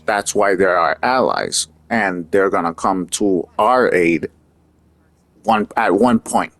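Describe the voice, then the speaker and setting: A man's voice: deep voice, expressive, slow pace, a bit bright, no room sound. A man from Puerto Rico giving an earnest, opinionated monologue in a casual, rambling way. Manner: earnest, opinionated, talks in loose rambling asides. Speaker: vlogger.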